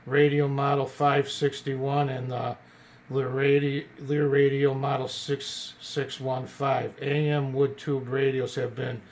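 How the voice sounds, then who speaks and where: A man casually presenting an old radio as a collector, partly reading from notes in a halting way and stumbling over words. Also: mid-range voice, gravelly, darkish tone.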